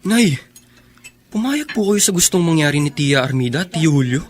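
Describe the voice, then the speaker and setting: A man's voice: a little high, animated, moderate pace, natural voice. Man as an earnest young elder brother. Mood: indignant. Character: responsible, protective young eldest son and brother. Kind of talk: earnest radio drama acting.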